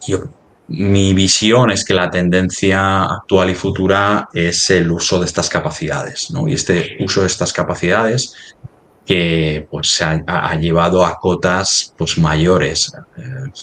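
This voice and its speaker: A man from talking business conversationally, halting and rephrasing as he goes, often ending on tag questions. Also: low voice, fairly even intonation, fairly bright tone. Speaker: company representative in a webinar.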